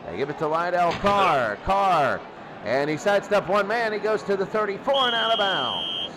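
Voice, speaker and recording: A man's voice: sits high, big pitch swings, normal speed, noisy background. A man doing live football play-by-play in short, repetitive sentences, as the announcer. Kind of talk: live play-by-play sports commentary.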